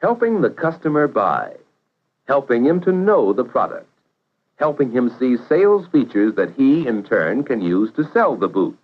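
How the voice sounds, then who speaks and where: A man narrating a 1950s educational film in a measured, instructive way, posing questions to the viewer. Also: medium pitch, big pitch swings. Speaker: narrator of vocational training film. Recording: dull vintage 1950s film soundtrack.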